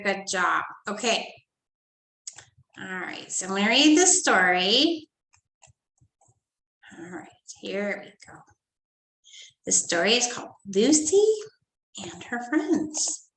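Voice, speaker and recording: A woman teacher reading a storybook aloud to first graders in a sing-song, animated way, often dropping to soft whispered asides. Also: high-pitched. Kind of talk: storybook read-aloud lesson for young children. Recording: echoey room.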